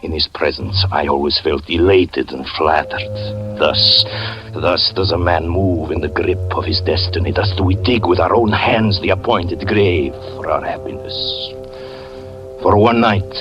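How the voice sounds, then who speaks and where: A man's voice: low voice, measured pace. An actor playing a jealous, haunted fortune-teller who narrates his own doom in a grave, theatrical 1940s radio drama style. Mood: fatalistic. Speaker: narrator and lead character. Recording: lo-fi old radio recording, faint music.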